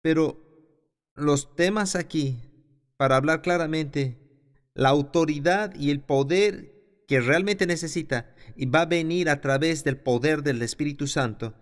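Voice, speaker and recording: A man with a slightly high voice teaching a Bible class. He reads scripture aloud and then breaks it down in his own words, restarting a phrase here and there. His pace shifts between slow and quick. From the south of his country.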